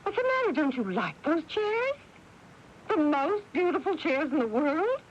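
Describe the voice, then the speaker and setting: A woman's voice: high-pitched, sing-song, a little slow. An actress playing an imperious, possessive older mother, indignant and emphatic in a radio drama. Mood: agitated, dismissive. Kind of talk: 1980s radio drama acting. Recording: noisy, muffled old radio broadcast.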